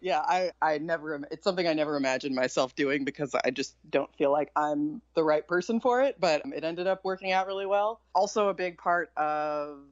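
Woman guest with a low voice, low-key and candid, casual talk; dull lo-fi sound, very dry. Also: brisk, few pauses, no room sound. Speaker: podcast guest, chef and author. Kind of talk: casual interview chat.

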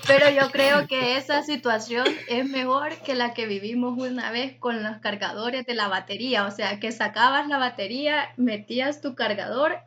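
A woman with a Honduran accent teasing her friends in a relaxed group chat. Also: fairly high pitch, normal speed, few pauses, muffled recording. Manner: teasing, laughing.

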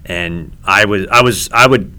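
Male comedy host, very low and smooth voice, halting storyteller. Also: pushed and bright. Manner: rambling, halting with many ums and restarts. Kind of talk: casual podcast chat.

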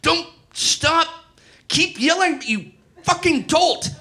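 A man voicing a gruff, taunting, foul-mouthed best friend in a comic story. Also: very high-pitched, big pitch swings, moderate pace, pushed and bright, pitched above the performer's own voice, heavily acted. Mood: demanding. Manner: brash, taunting, swearing.

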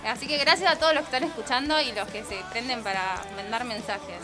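A young adult woman with an Argentine accent and a slightly shrill voice, chatting casually as a radio co-host relaying messages from listeners. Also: steady tone, fast. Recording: music playing behind the voice. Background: music.

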